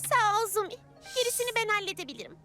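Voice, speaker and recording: A woman voicing a brave, cheerful little girl adventurer in a children's cartoon, earnest and expressive. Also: very high-pitched, racing, full character voice. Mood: hopeful. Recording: loud music behind. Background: loud music.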